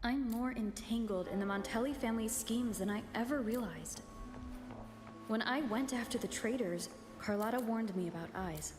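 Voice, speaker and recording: Echoing, reflective young heroine. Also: female voice, a little high, moderate pace, music in the background. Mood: pensive, wary. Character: reflective, cautious young female adventurer thinking aloud. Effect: reverb for inner thoughts. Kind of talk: scripted video game inner monologue. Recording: long echoing reverb on voice.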